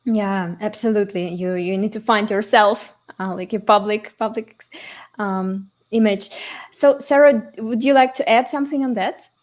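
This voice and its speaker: A woman's voice: mid-range voice, normal speed, a little mellow. A woman hosting an online interview, asking conversational follow-up questions over a video call. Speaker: host.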